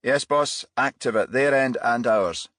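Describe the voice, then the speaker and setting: Man playing an eager junior police detective, raised pitch. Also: a little slow, fairly bright tone, no room sound, clean studio sound, close to an everyday voice. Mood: dutiful. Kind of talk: crime audiobook dialogue.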